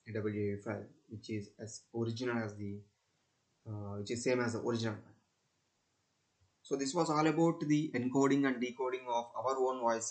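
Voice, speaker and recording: A young man speaks with an Indian accent at an average male pitch. He presents a technical demonstration in the manner of a student, explaining step by step haltingly and often repeating his phrases. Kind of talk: screen-recorded technical demonstration.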